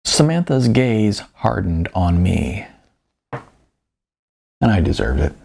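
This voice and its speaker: A man's voice: fairly low pitch, sing-song, slow, gravelly, a little mellow, slightly rough. A man reading his own first-person audiobook in a conversational, informal way.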